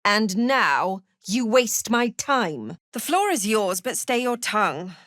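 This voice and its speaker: A haughty female prison warden from a fantasy game, a zealous cultist with a cruel streak. She speaks slowly and deliberately, with condescending menace in every phrase. Her mid-pitched voice is captured very dry, with no room sound. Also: big pitch swings, a bit bright, no room sound. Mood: cold, condescending. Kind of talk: dramatic fantasy video game acting.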